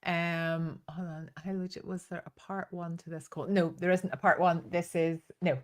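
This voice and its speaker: A woman's voice: lowish voice, level tone, normal speed. A woman with a Northern Irish accent giving an informal live training talk as host, chatty and given to storytelling asides. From Northern Ireland.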